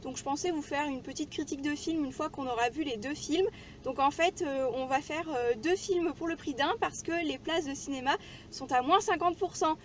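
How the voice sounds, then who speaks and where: A woman's voice: sits high, normal speed, slight background noise. A woman talking casually and informally as a vlogger, in a chatty way. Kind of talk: casual vlog chat.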